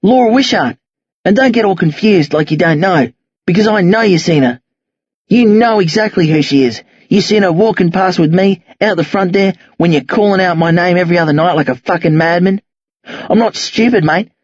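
A man's voice: sits high, normal speed, breathy edge, dry recording, lo-fi sound. A man voicing an angry, defiant teenage Australian boy in an audiobook, blunt, slangy and confrontational. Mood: furious, accusing. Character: angry accusing teenage boy, rough, foul-mouthed, defiant.

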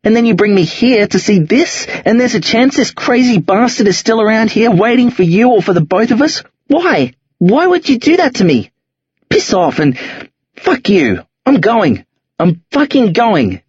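A man voicing a frightened, bookish teenage boy whose voice rises high and strained under stress, on a dull, lo-fi recording. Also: big pitch swings, a little slow, a little mellow, breathy edge, no room sound, heavily acted. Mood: outraged. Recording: dull lo-fi audio.

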